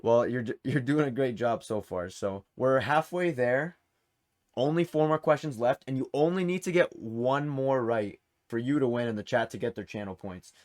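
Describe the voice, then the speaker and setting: A man's voice: mid-range voice, normal speed, darkish tone. Man hosting a casual quiz. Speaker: quiz host. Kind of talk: casual game-show hosting.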